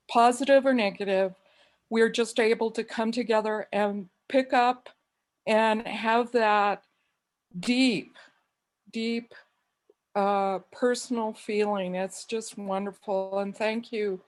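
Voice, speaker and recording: A woman from Wyoming giving a memorial tribute over a video call, speaking in what she calls a monotone and reading a prayer. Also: medium pitch. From Wyoming, USA. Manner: slow, calls own voice monotone.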